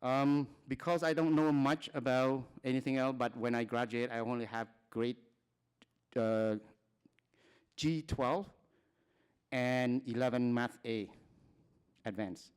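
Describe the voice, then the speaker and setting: Smooth-voiced man from Cambodia, halting. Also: mid-range voice, steady tone. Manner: plain, halting talk with frequent restarts and short laughs. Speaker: conference speaker. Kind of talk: personal testimony at a conference.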